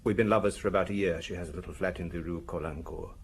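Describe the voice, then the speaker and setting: An actor playing a weary, defensive husband under police questioning in a radio drama, speaking hesitantly and explaining himself in broken sentences. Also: male voice, sits low, steady tone, rapid-fire, vocal fry, slight background noise. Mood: weary, candid.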